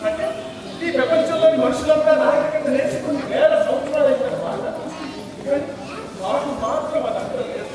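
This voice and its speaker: A man's voice: very high-pitched, a little fast, a crowd in the background. A man acting a poor, downtrodden, aggrieved village man in a stage play, declaiming in rhetorical questions. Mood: bitter, aggrieved. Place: stage in a large hall. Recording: echoey hall from far off, music behind.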